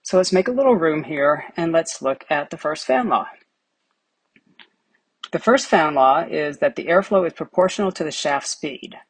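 A woman's voice: sits low, lively intonation, moderate pace, husky, no echo, rough recording. A middle-aged woman who hosts and teaches an HVAC lesson, explaining equations step by step over a whiteboard. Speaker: HVAC instructor.

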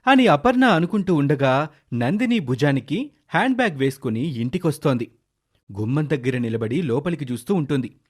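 A man's voice: fairly high pitch, animated, a little fast, slightly rough. A man narrating a scripted moral story as its storyteller.

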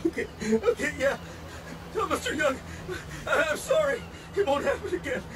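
A man's voice: very high-pitched, expressive, normal speed, far from the mic, background noise. A man acting a cowed, pleading rich man in a video game, frightened and near tears. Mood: terrified, pleading. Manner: pleading, placating, panicky.

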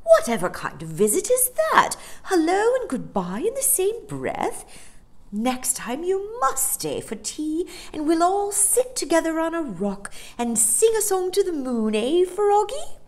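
A woman's voice: extremely high pitch, huge pitch swings, measured pace, pitched above the performer's own voice, full character voice. A woman voicing a sociable, greedy female dolphin in a storybook, with an effusive, exclamatory manner. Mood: disappointed but gushing.

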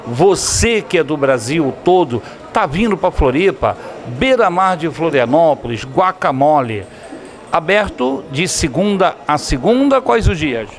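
A man's voice: fairly high pitch, lively intonation, a little slow. A middle-aged man hosting a TV show, enthusiastically interviewing and promoting to viewers from inside a restaurant on a handheld mic. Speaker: TV show host. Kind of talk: upbeat on-location interview. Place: indoor restaurant dining room. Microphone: handheld microphone.

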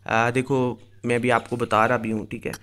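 A man's voice: medium pitch, level tone, normal speed, fairly bright tone. A man hosting a gaming video, casually explaining things straight to his viewers as he goes. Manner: explanatory, addresses viewers directly. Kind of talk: casual screen-recorded game commentary.

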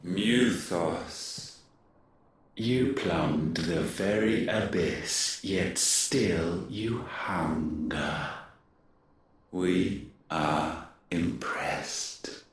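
An actor playing a cold, imperious godlike villain in a fantasy game, speaking with lofty condescension. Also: male voice, low-pitched, extremely slow, exaggerated voice. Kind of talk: dramatic video game villain acting. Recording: cavernous echo, distant voice.

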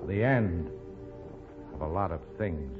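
An actor playing a doomed fugitive in love, tender, resolute and earnest, in old radio drama style. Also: male voice, low voice, lively intonation, a little slow. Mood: tender, wistful. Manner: earnest, romantic, melodramatic. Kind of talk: 1940s radio drama acting. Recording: tinny old radio recording with music.

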